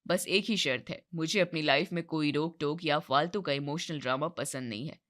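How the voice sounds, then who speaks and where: A woman puts on a wealthy young businessman from a cartoon moral tale. His voice is pitched down, curt and boastful, with a businesslike pride. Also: normal speed, fairly bright tone. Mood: smug, cool. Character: proud, curt young rich businessman suitor. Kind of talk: moral-story cartoon voice acting.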